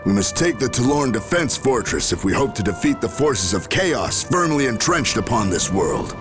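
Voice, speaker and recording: A man's voice: mid-range voice, big pitch swings, moderate pace, natural voice. A man playing a stern, battle-hardened general in a video game cutscene, speaking with grave, commanding military oratory. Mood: grim, resolute. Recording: music behind the voice.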